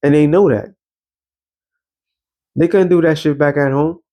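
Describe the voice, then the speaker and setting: A man's voice: a little high, unhurried, mellow. A man preaching in a blunt, slangy street style, swearing freely, reading scripture and breaking it down with rhetorical questions. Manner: rhetorical questions and repeated check-ins like a teacher. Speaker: preacher and show host. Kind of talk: informal street sermon with scripture reading and commentary.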